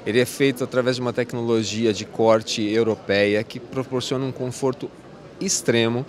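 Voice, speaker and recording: A man's voice: medium pitch, steady tone, normal speed. A man in his forties with a Brazilian Portuguese accent, a company representative describing products in a salesy way, recorded on a handheld mic in a large exhibition hall. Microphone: host's handheld mic.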